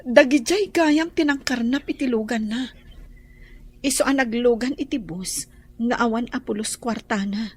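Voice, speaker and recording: A woman's voice: a little high, moderate pace, slight background noise, natural voice. A woman with a Filipino accent playing an earnest young daughter in a radio drama.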